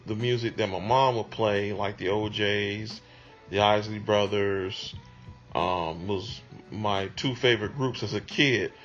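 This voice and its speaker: Unhurried man. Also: fairly low pitch, fairly bright tone, lo-fi sound. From Detroit, USA. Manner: unhurried, conversational, with many ums. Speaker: music producer interviewee. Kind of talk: reflective interview answers.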